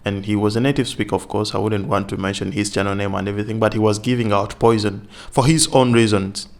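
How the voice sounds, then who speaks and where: Earnest man with a low voice. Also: steady tone, fast. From Africa. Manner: earnest, insistent, repeats points for emphasis. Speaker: vlogger giving advice on teaching in Vietnam. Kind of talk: direct-to-camera advice talk.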